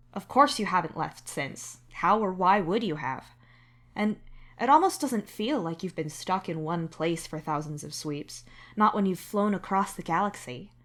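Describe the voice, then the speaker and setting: Female story narrator, lower-pitched, scripted second-person fiction.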